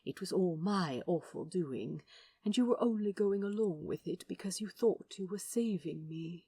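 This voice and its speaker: A woman voicing a gentle, fussy, fond male angel in a storybook reading, with a soft, breathy, prim delivery. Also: fairly low pitch, normal speed, no echo. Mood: fond, reassuring.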